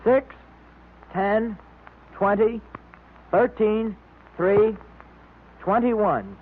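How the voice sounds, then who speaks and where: A performer playing an eager, earnest boy club leader on a vintage radio show, speaking deliberately. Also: sits high, exaggerated voice. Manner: deliberate, reads out codes word by word. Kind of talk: 1930s radio club announcements. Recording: tinny old radio recording.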